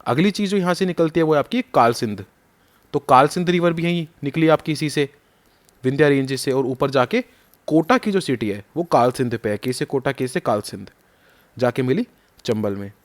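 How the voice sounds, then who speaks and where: Man, teacher, map lesson, quizzing tone, repeats key names, slightly sore throat, mid pitch. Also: normal speed. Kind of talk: map lesson for exam prep.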